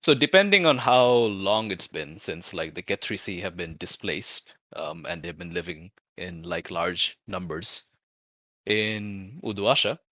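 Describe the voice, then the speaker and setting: A man talking casually and informally about a tabletop roleplaying game, feeling out his thoughts haltingly as a player. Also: deep voice, sing-song, fairly bright tone, no room sound. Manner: halting, thinking aloud, informal with swearing. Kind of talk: casual out-of-character game discussion.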